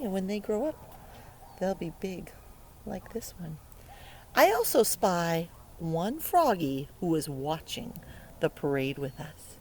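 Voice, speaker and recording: Woman reading to children, very animated pitch. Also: a little hiss or hum. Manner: exaggerated wonder, simple questions to the listener, playful sound words. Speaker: early learning educator reading a picture book aloud. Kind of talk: interactive read-aloud for young children. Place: outdoors beside a lake.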